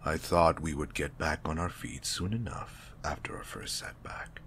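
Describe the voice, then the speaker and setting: Stubborn male underboss, played by a man, extremely low, hushed voice, formal and guarded, audio drama. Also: full character voice. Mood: guarded, defensive. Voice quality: very deep, breathy, half-whispered. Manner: measured, formal. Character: proud, stubborn male department head, guarded before his boss. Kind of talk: audio roleplay acting.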